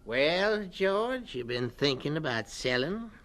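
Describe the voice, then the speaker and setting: Slow-talking, calculating businessman. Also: fairly high pitch, big pitch swings, a bit bright, exaggerated voice. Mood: sly, persuasive. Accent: American. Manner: smooth-talking, calculating, pressuring. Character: shrewd, grasping, coolly menacing male property owner. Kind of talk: radio drama acting.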